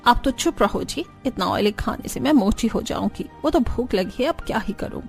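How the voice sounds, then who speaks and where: A woman's voice: on the high side, lively intonation, normal speed, gravelly, faint music behind. A woman voicing a haughty, disapproving city in-law in a cartoon. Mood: complaining. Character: haughty, disapproving city women of the in-laws' household.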